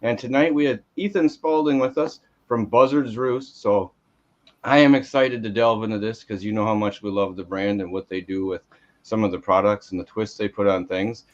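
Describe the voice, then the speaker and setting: A man hosting a whiskey review show, talking in a relaxed, joking way and often repeating words. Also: on the low side, moderate pace, dull audio. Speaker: host. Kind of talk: casual podcast hosting.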